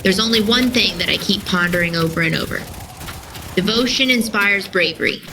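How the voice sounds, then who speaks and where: A woman playing a grave, deliberate soldier in an audio drama who speaks about devotion and death. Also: mid-range voice, background noise, natural voice. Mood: grave, pondering.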